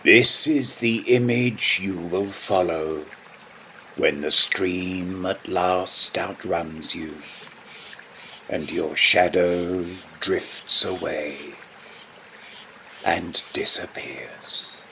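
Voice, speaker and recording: A man reading a poem aloud with solemn, measured phrasing. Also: low voice, sharp tone, a little hiss or hum, rough recording. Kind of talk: poetry reading.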